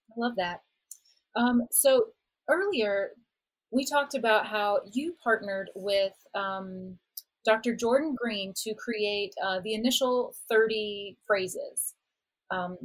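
A woman podcast host puts questions to her guest in a friendly, conversational way. She fills her pauses with small fillers as she builds each question. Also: mid-range voice, poor audio. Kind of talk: interview questions.

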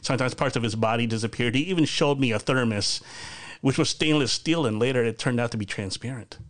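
A man's voice: medium pitch, a little fast, fairly bright tone. A man hosting a casual live video podcast from his home over a video call, chatty and rambling with lots of fillers and restarts. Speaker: podcast host. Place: bedroom at home.

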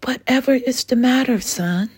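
A woman voicing a caring mother dragon in a bedtime story, soft and fussing, sometimes whispering. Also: sits high, moderate pace, slightly rough, pitched above the performer's own voice. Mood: tender.

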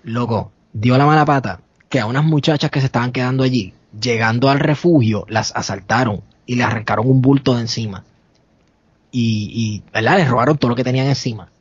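A Puerto Rican man with a Puerto Rican Spanish accent, a podcast guest telling a story casually, animated and laughing often as he acts out the dialogue. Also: mid-range voice, brisk, breathy edge, dry recording, highs cut off. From Puerto Rico.

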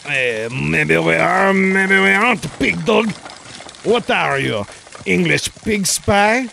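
A man's voice: sits high, lively intonation, vocal fry, bright, forceful tone, a little hiss or hum, full character voice. A man playing a haughty, insult-slinging nineteenth-century Frenchman with a put-on French accent in a comedic 1940s-style radio play. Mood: scornful, suspicious. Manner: scornful, drawn-out sneering insults.

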